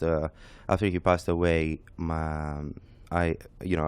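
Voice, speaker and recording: A young man with a Greek accent, co-hosting a real estate radio talk show, halting with many fillers. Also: deep voice, clean tone, no echo. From Greece. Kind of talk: casual radio chat. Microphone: studio microphone.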